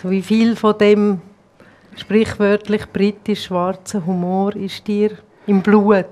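Swiss german lady host, mid pitch, quick lively questions in a tv studio. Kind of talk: studio interview. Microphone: lapel.

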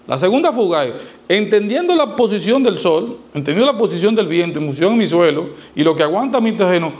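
A man's voice: high-pitched, sing-song, a little fast, a little mellow. A middle-aged man, explaining things to camera in an emphatic, didactic way with rhetorical questions and repetition. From Dominican Republic. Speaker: architect giving advice. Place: small indoor studio, seated. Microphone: lavalier clipped to shirt.

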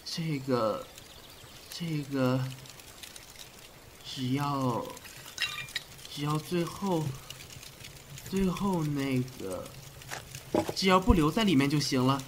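Man playing a formal ancient physician, faint noise. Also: on the high side. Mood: flustered. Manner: formal, measured. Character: frank, deferential male physician of ancient China. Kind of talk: period audio drama acting.

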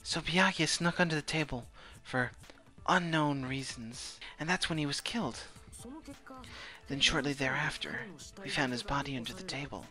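Man, medium pitch, amateur fan dub, casual joking delivery, background music. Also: normal speed, pushed and bright, low-quality recording.

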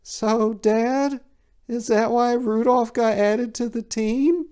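A man's voice: very high voice, takes it slowly, dark tone, smooth, voice pushed up. A man voicing a curious, innocent young boy in a storybook reading.

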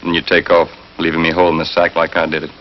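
A man's voice: low voice, completely flat delivery, quick pace, natural voice. A man playing a bitter, betrayed friend in a 1950s television crime drama, accusing and sarcastic. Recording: dull 1950s TV soundtrack, faint noise.

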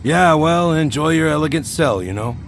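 An actor playing a cocky, self-pitying convict in a video game, talking in brash, needling slang. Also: male voice, fairly high pitch, expressive, normal speed, vocal fry, a little hiss or hum. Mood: needling, casual. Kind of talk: video game dialogue acting.

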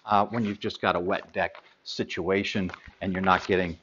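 A man's voice: low voice, little pitch movement, moderate pace, highs cut off, background noise. Middle-aged man explaining gear with ums. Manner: practical, hands-on, many ums and uhs while handling gear. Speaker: sailing gear expert. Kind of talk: product explainer.

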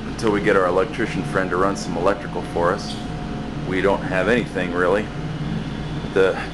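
A man with a very low voice talks loosely as he walks a handheld camera around a cluttered basement workshop. He backtracks and fills pauses with "uh" and "um", easygoing and unscripted. A steady hum of air conditioning sits clearly behind him in the noisy basement. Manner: rambling, relaxed, many fillers and restarts. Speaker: building maintenance worker giving a shop tour. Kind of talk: casual walkaround tour. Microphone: handheld camera mic, speaker off screen.

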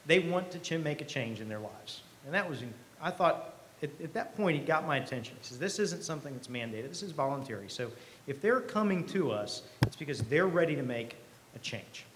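A man's voice: fairly high pitch, animated, brisk, few pauses, a bit bright. Middle-aged man giving keynote, noisy room. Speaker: company president, keynote speaker. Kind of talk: conversational keynote speech. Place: event hall stage. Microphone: podium microphone. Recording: live podium speech with clear background noise.

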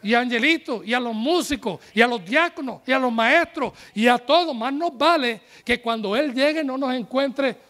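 A man in his forties preaching a sermon from a pulpit, animated and joking as he calls on the congregation, speaking into a handheld microphone. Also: very high-pitched, normal speed, hardly any pauses. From United States. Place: church tent stage with a pulpit.

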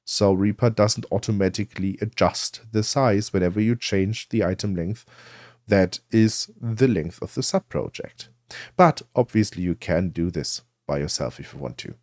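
Male tutorial presenter, conversational explainer who poses questions and answers them, slightly low pitch, no room sound. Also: moderate pace, darkish tone, dry recording, studio quality. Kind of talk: software tutorial narration.

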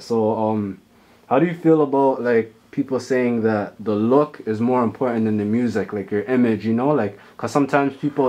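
A young man hosting a casual interview, asking loose questions packed with "like" and answering with "okay, okay.". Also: fairly low pitch. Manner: relaxed, many "like" fillers and "okay, okay" backchannels. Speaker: interviewer host. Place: small studio room, seated on a couch.

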